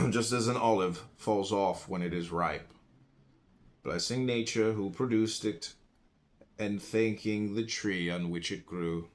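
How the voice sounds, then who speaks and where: A man reading classical philosophy aloud in a deliberate, declamatory manner with emphatic pauses. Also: lowish voice. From United States. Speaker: reader, podcast host.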